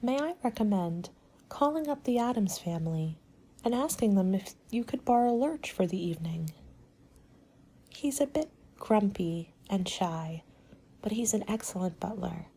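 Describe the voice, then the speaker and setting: A woman doing a soft-spoken ASMR roleplay as a helpful party planner, gentle and measured, often slipping into a whisper. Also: mid-range voice, animated, husky.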